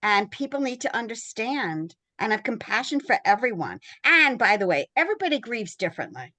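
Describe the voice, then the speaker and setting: A woman's voice: fairly high pitch, sing-song, brisk, pushed and bright. A woman co-hosting a conversational interview, speaking to her guest and to listeners. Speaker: podcast co-host.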